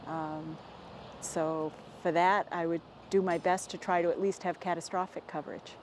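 An American woman in her forties, a dairy farmer answering interview questions in a plainspoken, halting and measured way. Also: lowish voice, little pitch movement, a little hiss or hum. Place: inside a barn with hay bales. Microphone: lapel mic.

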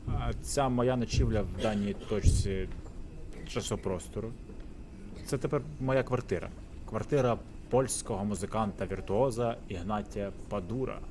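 Man as pompous drunk musician-philosopher, visual novel. Low pitch, lofty delivery, noisy recording. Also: normal speed, natural voice. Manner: grandiose, philosophizing. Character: pompous drunken male virtuoso musician living in a doghouse.

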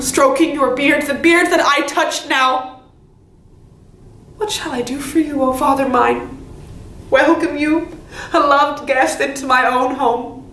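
A woman's voice: high voice, a bit bright. A woman acting a young princess in a Greek tragedy monologue, earnestly begging her father for her life. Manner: earnest, beseeching, theatrical delivery. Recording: roomy, faintly noisy audition recording.

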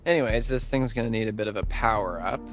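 A man's voice: on the low side, lightly acted. An actor playing a nerdy young male camp counselor who rambles and stalls with fillers, in a video game. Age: young adult. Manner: rambling, halting with many fillers. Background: music.

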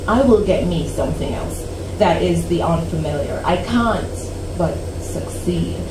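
A woman of about forty reading her own poem aloud at a cafe open mic, slow and deliberate with dramatic pauses. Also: lowish voice, animated. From Canada. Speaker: poet. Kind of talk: poetry reading. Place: small cafe stage before a seated audience. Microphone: stand mic, recorded by a camera at the back. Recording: roomy, distant camera audio with hum.

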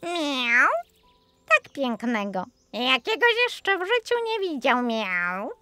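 A woman's voice: high-pitched, very animated, slow, sharp tone, no echo, pitched above the performer's own voice, exaggerated voice. A woman voicing a gracious, bossy little talking tomcat in a fairy tale, coaxing and commanding with a meowing drawl.

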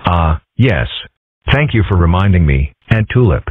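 A low, husky man reads comic strip dialogue in a dry, deadpan way. The sound is thin and tinny, like a phone line, with no room around it. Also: moderate pace, dry recording. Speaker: comic dub voice actor.

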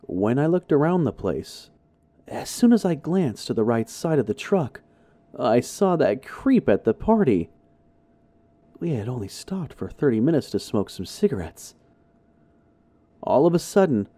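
A man's voice: mid-range voice, dark tone, dry recording. A man reading a horror story in a suspenseful, theatrical narrating style. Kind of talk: dramatic scripted narration.

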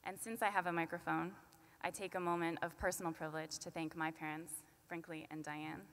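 A young woman in her twenties giving a commencement speech at a podium, earnest and measured with light self-deprecating asides. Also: sits low, little pitch movement, noisy background. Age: 20s. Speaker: student commencement speaker. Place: large arena at a podium. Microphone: podium microphone. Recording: long arena reverb.

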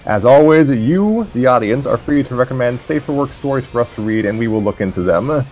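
A man co-hosting a show in a deadpan manner, mixing scripted announcements with casual chat. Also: medium pitch, a little fast, soft background music, poor audio. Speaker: co-host of a story-reading show.

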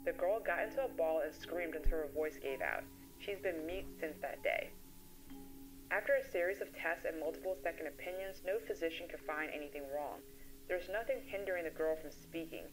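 A woman playing a composed child therapist, giving a measured, professional case briefing to a colleague in an analog horror voice-over. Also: deep voice, music in the background, lightly acted, rough recording. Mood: grave, clinical.

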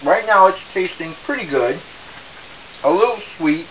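A man presenting a casual home cooking demonstration in an unhurried, explanatory way, recorded in a kitchen. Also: high voice, expressive. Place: small home kitchen, indoors. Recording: dull, hissy home camera audio.

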